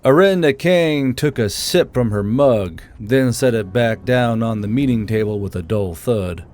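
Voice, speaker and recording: A man's voice: mid-range voice, measured pace, a little mellow. A man reading scripted narration for a fantasy audiobook as its narrator.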